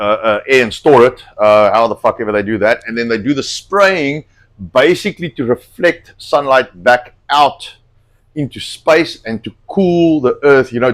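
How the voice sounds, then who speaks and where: Middle-aged male host, slightly hoarse, blunt and profane, casual cooking chat. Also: medium pitch. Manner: rambling, blunt, swears a lot. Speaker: host cooking on a live stream.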